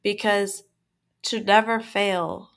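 A woman talking on a casual podcast, her voice stuffy from a cold. She speaks in an animated way, laughs often and restarts her phrases as she thinks aloud. Her pitch sits in a middle range. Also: slow. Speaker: podcast co-host. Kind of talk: casual chat.

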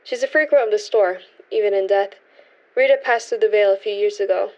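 A woman's voice: medium pitch, brisk, muffled recording, close to an everyday voice. A woman playing a reverent, mystical member of a witches' coven, telling stories in a radio drama.

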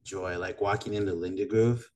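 Low-voiced man from Madagascar hosting. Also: fairly even intonation, normal speed, a little mellow, a little hiss or hum. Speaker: podcast host. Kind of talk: casual chat.

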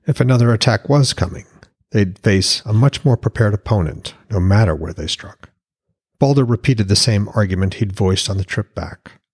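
A man's voice: fairly low pitch, expressive, moderate pace, slightly rough, dry recording, clean studio sound. A man reading his own fantasy novel as a podcast narrator, in an audiobook storytelling style.

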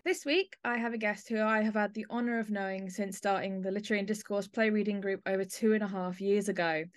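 A woman's voice: medium pitch, steady tone, fast. A woman speaking as the host of a podcast, introducing and interviewing her guest.

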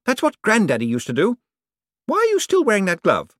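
Man doing spoiled rich young woman, shrill, dismissive, swooping voice, dry sound. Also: wildly swooping pitch, fairly quick, a bit bright, no room sound, full character voice. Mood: bossy.

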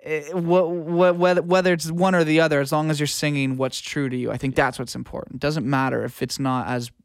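A man's voice: on the high side, level tone, fairly quick, clean tone, no echo, studio quality. A man with a North American accent chatting casually as a podcast guest, peppering his talk with filler words like "like" and "you know". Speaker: podcast guest, audio engineer. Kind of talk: casual chat.

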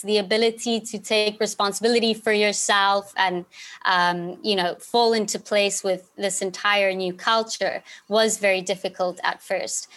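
A woman's voice: mid-range voice, a bit bright. A woman in her twenties from Zimbabwe, answering interview questions in an earnest, reflective way. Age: 20s. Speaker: podcast guest, alumna.

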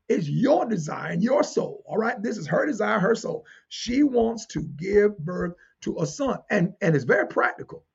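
A man delivers a teaching sermon in a high-set voice that is markedly hoarse-edged and full of gravelly creak. He is deliberate and emphatic, circling back to key phrases and putting questions to his listeners. The recording sounds somewhat dull. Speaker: preacher.

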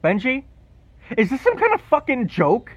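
A man acting an irate high-pitched guy over the phone. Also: huge pitch swings, normal speed, dry recording, exaggerated voice. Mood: irate, demanding. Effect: phone call filter. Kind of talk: audio drama acting. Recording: dull, lo-fi phone line.

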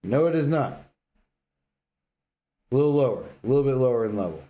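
Male podcast co-host, dark relaxed tone at middling pitch, blunt opinions in casual gamer banter. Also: brisk. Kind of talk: casual gaming banter and debate.

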